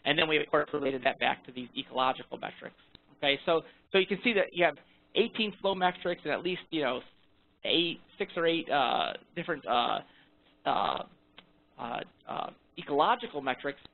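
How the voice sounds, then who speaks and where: Male presenter, higher-pitched, halting and repetitive, explaining technical work informally over a tinny phone conference line. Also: expressive, vocal fry, slight background noise. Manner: halting, repeats words and rephrases often. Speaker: research presenter. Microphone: phone conference call. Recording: thin, band-limited phone conference line.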